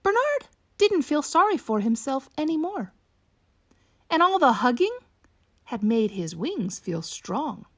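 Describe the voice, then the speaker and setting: A woman reading a children's picture book aloud in an expressive, slow, storytelling manner. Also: high voice. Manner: slow, animated read-aloud delivery for young children. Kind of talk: expressive bedtime storybook narration.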